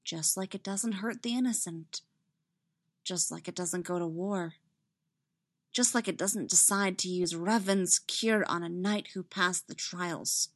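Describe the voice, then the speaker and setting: A woman's voice: on the high side, sing-song, a little slow, dry recording. Young female Jedi, performed by a woman reader, fearful, pleading, earnest, tense, audiobook dialogue, dry close sound. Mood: low, fearful. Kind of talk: dramatic audiobook character dialogue.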